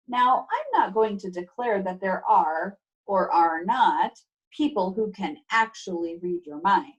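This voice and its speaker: A woman teacher reading a children's book aloud over a video call, expressive and instructive, with playful emphasis and casual asides to pupils. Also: mid-range voice, husky, poor audio. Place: remote video class.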